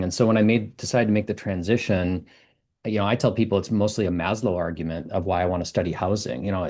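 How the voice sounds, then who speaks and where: A man's voice: sits low, a little mellow. A man in his fifties from the US Midwest, a professor and interview guest, explaining in fluent storytelling. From Minnesota, US Midwest. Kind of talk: conversational interview.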